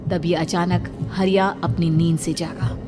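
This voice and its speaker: A woman's voice: on the low side, little pitch movement, measured pace. A woman narrator reading a scripted horror story in a dramatic style. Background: music.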